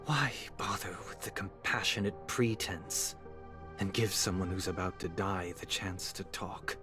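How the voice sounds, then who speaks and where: Low, bitter fallen nobleman. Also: a little slow. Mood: resigned, bitter. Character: proud, fallen young nobleman, bitter and resigned. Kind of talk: English game voice acting. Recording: voice over loud game music.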